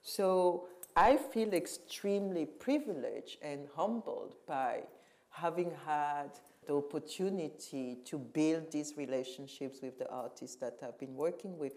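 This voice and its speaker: A middle-aged woman from Cameroon speaks in a panel conversation as a curator. Her voice sits low and sounds warm and mellow. She is reflective and emphatic, and often pauses to search for the right word. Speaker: curator and museum director.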